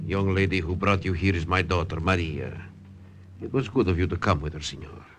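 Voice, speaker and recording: Actor as low-voiced, shrewd old Italian scientist. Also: male voice, heavy background noise, close to an everyday voice. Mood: courteous, weary. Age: older. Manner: measured, formal, politely insistent. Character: old, tired Italian scientist, shrewd, calm, calculating bargainer. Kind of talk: old-time radio drama acting. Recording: vintage radio drama recording.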